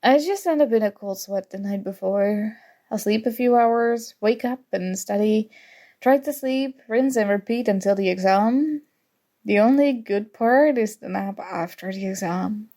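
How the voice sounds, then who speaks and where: A woman playing a flustered, teasing young student rival in an intimate ASMR roleplay, soft-spoken, mock-annoyed and hesitant. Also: medium pitch, unhurried, lightly acted. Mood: candid, concerned.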